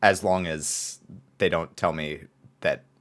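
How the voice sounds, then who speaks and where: A man's voice: deep voice, fairly even intonation, slow. Hesitant man stammering through questions. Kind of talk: casual chat.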